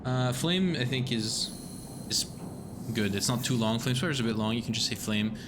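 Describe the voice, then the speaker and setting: A male streamer chatting casually between bits of a game, hesitant and full of fillers. His voice is going hoarse, at a middle pitch. A steady background noise runs under it. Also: normal speed. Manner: relaxed, hesitant, lots of fillers.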